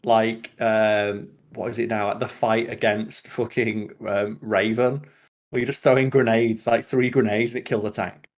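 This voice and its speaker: Low-pitched man chatting, sweary and opinionated. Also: level tone, normal speed. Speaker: podcast host. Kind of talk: casual podcast chat.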